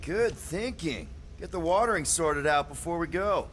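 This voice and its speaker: A man's voice: fairly high pitch, animated, normal speed, fairly bright tone, slight background noise. A man playing an easygoing young leader of a space crew in a video game, casual, inquisitive and a little awkward. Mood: casual, probing.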